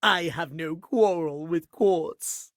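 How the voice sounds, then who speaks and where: A man voicing a fussy, pompous pocket watch in a video game, speaking in prim, formal, old-fashioned phrases and quick to take offence. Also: a little high, fairly bright tone, no echo. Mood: prim, lecturing. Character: fussy, pompous, lovestruck-proper pocket watch gentleman, easily outraged. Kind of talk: video game character acting.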